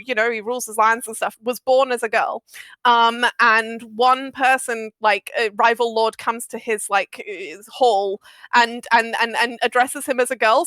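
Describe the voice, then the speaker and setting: A woman in animated casual discussion, talking emphatically with swearing and a frequent "like". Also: a little high, clean tone, no echo.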